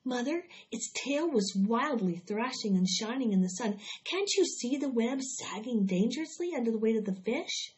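A woman's voice: fairly high pitch, lively intonation, normal speed, few pauses, a little mellow, pitched above the performer's own voice, natural voice. A woman reading aloud, voicing an eager, imaginative little farm girl who talks earnestly and insistently. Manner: earnest, insistent, breathless storytelling. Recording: dull lo-fi recording.

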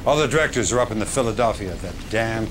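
A man acting as a stern army general in a dramatic game cutscene. His low voice sounds grave and alarmed, over clear background noise. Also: animated, fast talker, close to an everyday voice. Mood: grave, urgent. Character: stern, alarmed military general giving a briefing.

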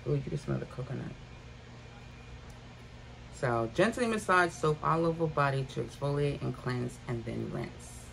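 A woman's voice: rumbling low, lively intonation, moderate pace, gravelly, pauses often, a little hiss or hum, rough recording. A woman in her fifties chatting casually to viewers from her bedroom about items she bought, calling them y'all. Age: 50s. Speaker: haul vlogger. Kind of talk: casual haul chat. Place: bedroom at home.

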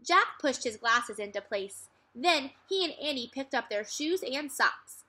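A young adult woman reads a children's story aloud as a teacher, with expressive, clearly phrased narration. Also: high voice, measured pace. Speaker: teacher reading aloud to second graders. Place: furnished room at home, indoors.

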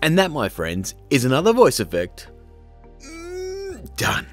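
Lively man presenting a tutorial, music behind. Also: a little high, slow pace. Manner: chatty, informal, addressing viewers directly. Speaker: host, video tutorial presenter. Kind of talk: upbeat step-by-step tutorial narration.

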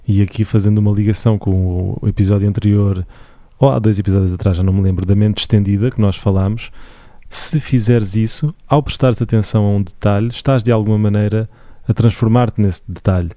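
A young man with a European Portuguese accent chats casually as a podcast co-host. His low, mellow voice sits on a dry, close microphone, and he laughs easily and restarts his sentences. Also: fairly even intonation, fairly quick, no echo. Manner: laughs often, hesitant restarts.

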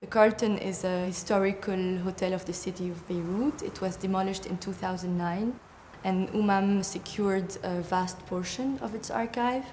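A woman's voice: medium pitch, fairly even intonation, moderate pace, darkish tone, slight background noise. A young woman speaking in an interview, explaining her work as an arts programme coordinator. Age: young adult. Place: large bare exhibition hall.

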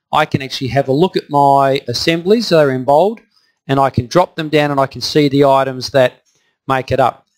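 A man giving a plain, step-by-step voice-over walkthrough of software, with the odd filler. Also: a little high. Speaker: software demonstrator. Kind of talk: screen-recorded software walkthrough.

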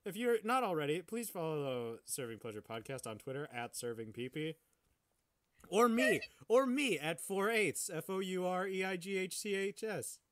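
A man co-hosting a podcast in casual chat, excitable and swearing freely as he banters. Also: high voice, moderate pace. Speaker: podcast co-host.

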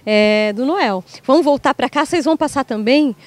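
A woman in her thirties hosting a live interview outdoors, chatty and lively, often repeating key phrases. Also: high-pitched, normal speed. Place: outdoor garden patio. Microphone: handheld mic.

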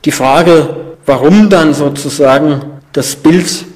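A man's voice: fairly high pitch, slow, a little mellow. A man speaking as an interviewed social scientist, explaining in an academic, reflective way.

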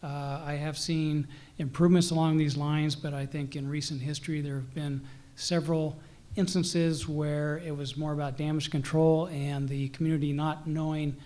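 A hoarse man in his forties, a school board candidate, speaking earnestly and wordily into a desk mic. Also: on the high side, darkish tone. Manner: earnest, wordy, with restarts. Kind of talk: forum statement. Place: meeting room at a panel table. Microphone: desk mic on a stand.

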